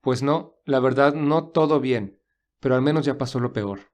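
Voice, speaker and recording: A man playing an earnest, defensive young gentleman in love in an audio drama, speaking in a measured way. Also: mid-range voice, lightly acted. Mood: guarded.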